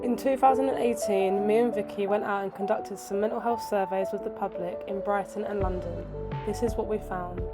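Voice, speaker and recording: An upbeat woman hosts a casual vlog and chats easily. Her voice sits in a middle pitch. Loud music plays underneath. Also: steady tone, fairly quick. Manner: upbeat, chatty. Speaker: vlog host and street interviewer. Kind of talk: casual vlog narration and street interviews. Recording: voice-over with loud background music.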